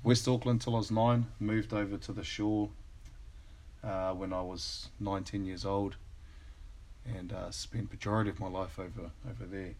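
A man in his thirties with a New Zealand accent, a guest chatting casually on a podcast, relaxed and hesitant with many ums. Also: fairly low pitch, moderate pace. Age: 30s.

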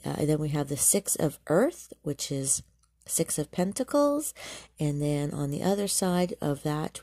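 A woman doing a tarot reading as a medium, talking softly with many pauses and restarts, a little congested and nasal. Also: a bass voice, dry recording, clean studio sound. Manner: soft, searching, with many ums and restarts. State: congested, slightly nasal from allergies. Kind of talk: spontaneous tarot reading to camera.

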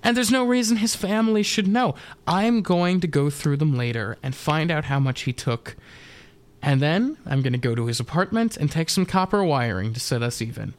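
A man's voice: on the high side, normal speed, lightly acted. A man playing a breezy, shady store boss in a radio drama, glib and rambling.

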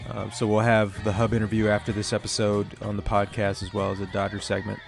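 Hoarse man hosting a podcast. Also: fairly low pitch, monotone, fast talker, over music. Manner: loose, rambling, many fillers. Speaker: podcast host, league commissioner. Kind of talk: casual solo podcast talk.

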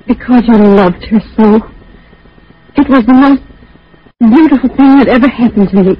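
A woman's voice: fairly high pitch, moderate pace, husky. An actress playing a timid, devoted, anxious woman in 1940s radio style, speaking deferentially and earnestly. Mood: wistful. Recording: muffled, faintly noisy vintage radio.

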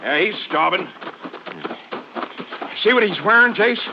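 An actor playing a folksy, excitable country sheriff in a 1950s radio drama. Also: male voice, high-pitched, a little slow. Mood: alert, excited. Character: folksy rural Texas sheriff, plain-spoken sidekick. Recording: muffled, noisy old radio recording.

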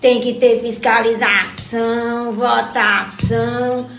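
A woman's voice: fairly high pitch, level tone, slow pace, vocal fry, fairly bright tone, roomy sound, soft background music, exaggerated voice. A woman playing a spirited, wise older grandmother with a Minas Gerais Brazilian accent, explaining things in a folksy, motherly way in a radio drama. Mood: patiently explaining. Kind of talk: scripted community radio drama.

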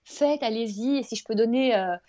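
A woman's voice: fairly high pitch, normal speed, mellow, lo-fi sound. A woman from Belgium chatting as an interview guest in an enthusiastic, emphatic, rambling way about her ideas, in a casual motivational style. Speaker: interview guest, author of a self-help book.

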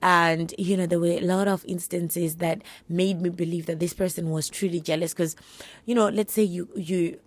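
A woman with a hoarse, husky voice from a flu, giving earnest, encouraging faith-based advice straight to her listeners. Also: fairly low pitch, steady tone, normal speed, dry recording. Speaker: podcast host.

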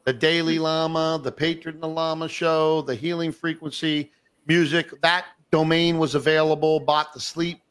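A man hosting a casual online talk show, his voice sitting a bit high for a man. He talks eagerly but in loose, rambling runs, full of fillers and restarts. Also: flat delivery, normal speed, fairly bright tone, clear, resonant tone. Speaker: podcast host.

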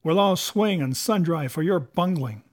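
A man's voice: a little high, lively intonation, moderate pace, close to an everyday voice. A man voicing an insolent, accusing pirate mutineer in a storybook, with blunt rustic sailor's talk. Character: rebellious, accusing long lean pirate mutineer George Merry. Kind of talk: dramatic audiobook character voice.

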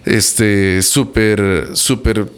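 A man's voice: fairly low pitch, steady tone, drawn-out words, clear, resonant tone. A man from Costa Rica with a Costa Rican accent, a musician giving casual, conversational answers in an interview.

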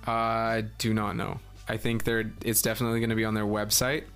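A young man with a breathy, somewhat low voice talks in a loose, chatty way, stringing his stories together with constant "like"s. Soft music plays faintly underneath. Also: monotone, fairly quick. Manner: chatty, filler-heavy storytelling. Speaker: guest musician. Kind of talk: casual podcast chat.

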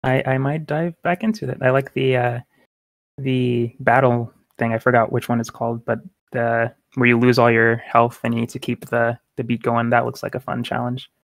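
A man co-hosting a casual podcast in a relaxed way, hedging with "like" and "sorta". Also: mid-range voice, moderate pace, gravelly, no echo. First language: English. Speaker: podcast co-host.

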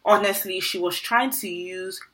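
A woman's voice: mid-range voice, fairly even intonation, a little slow, very noisy. A woman co-hosting a podcast, talking casually in an informal chat about television. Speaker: podcast co-host. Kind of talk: casual chat recapping TV show.

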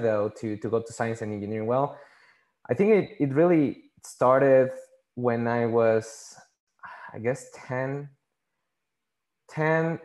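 Mexican-accented man, halting and conversational. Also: medium pitch, darkish tone. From Mexico City, Mexico. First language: Spanish. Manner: halting, repeats words, many "you know" fillers. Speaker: podcast guest, scientist and engineer. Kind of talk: casual conversational interview answers.

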